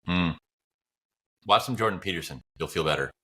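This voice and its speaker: A man's voice: low-pitched, lively intonation, normal speed, bright, forceful tone, slightly rough. A man co-hosting a podcast, chatting casually and philosophically in a blunt, rambling, opinionated way. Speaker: podcast co-host.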